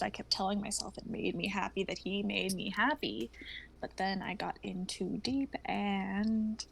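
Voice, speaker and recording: A woman's voice: medium pitch, gravelly, a little hiss or hum, low-quality recording. A woman acting a regretful young woman confessing love to an old friend, earnest and hesitant, in a dramatic livestream reading.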